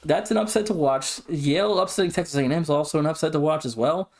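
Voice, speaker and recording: A man's voice: on the high side, fast talker, darkish tone. A man co-hosting a sports podcast, commenting casually with an announcer-like, emphatic way of repeating himself. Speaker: podcast co-host.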